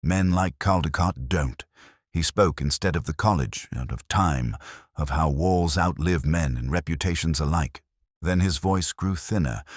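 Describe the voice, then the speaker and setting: A man with an extremely low, husky voice narrates a detective story in the first person. He sounds like a weary, introspective investigator, brooding and measured in short literary phrases. His pitch moves expressively, and the recording is dry and close. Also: dry recording.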